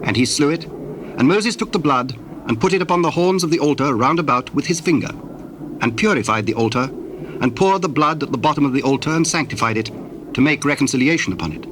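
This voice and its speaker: Male narrator for scripture drama: measured, solemn, lightish pitch, audible ambient noise with birds behind. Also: lively intonation, fairly bright tone.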